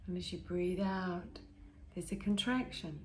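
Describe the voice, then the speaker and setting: Very slow, calm woman guiding meditation. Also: lowish voice, slight background noise. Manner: calm, gentle, measured, with long pauses between short phrases. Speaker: meditation guide and healer. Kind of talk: guided meditation, slow and soothing.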